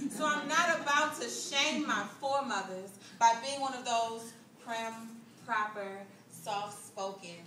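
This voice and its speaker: A woman performing a spoken word poem live on a club stage, rhythmic and building from hushed lines to loud declamation. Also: a little high, slight background noise. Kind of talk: slam poetry performance. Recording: echoey club recorded from far off.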